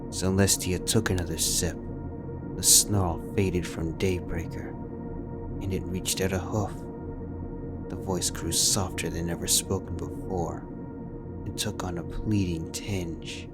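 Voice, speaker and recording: A man narrating a fan-fiction audiobook in a measured, steady storytelling manner. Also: low-pitched, loud music behind the voice.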